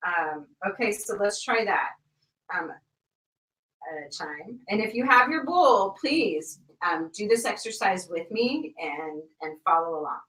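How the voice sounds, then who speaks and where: A woman teaching a live online sound healing class, encouraging and full of ums and uhs, softer and slower when she guides the exercise. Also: medium pitch, very animated, recorded from a distance. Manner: soft, slow guiding during the exercise. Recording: roomy, from off the mic.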